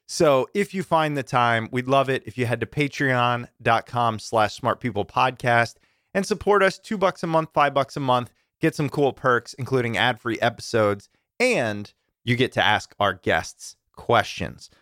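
A male podcast host giving a chatty, enthusiastic intro, his voice a little deeper and rougher than usual from campfire smoke. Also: a bit bright, no echo, clean studio sound.

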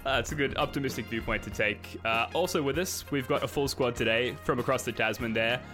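A man's voice: mid-range voice, brisk, over music. A man hosting a casual sports podcast, introducing his co-hosts and topics with wry jokes. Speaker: podcast host.

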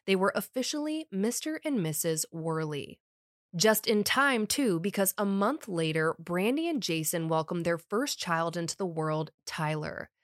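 A woman's voice: fairly low pitch, no room sound. Woman, summer cold, wry conversational narrator, true crime podcast, dead dry sound. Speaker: podcast host.

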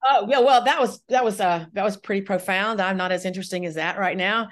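A woman, a writer-director appearing as a podcast guest, chatting casually in a halting, self-deprecating way. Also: medium pitch. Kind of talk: casual chat.

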